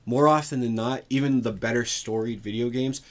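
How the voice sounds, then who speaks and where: A man co-hosting a casual discussion, reasoning out loud in an informal way and leaning on "like". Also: mid-range voice, brisk. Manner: informal, frequent "like" while reasoning. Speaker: co-host. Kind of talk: casual discussion of films and games.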